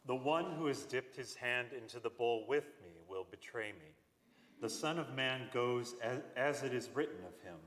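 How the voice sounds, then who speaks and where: A man's voice: medium pitch, animated, moderate pace, fairly bright tone. A man giving a solemn dramatic scripture reading, speaking as Jesus, recorded in an echoey church. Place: large church during a service.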